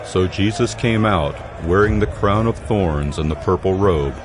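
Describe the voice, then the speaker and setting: A man's voice: deep voice, measured pace, crowd noise, background noise. A man narrating scripture from a script as a solemn dramatized audio Bible storyteller.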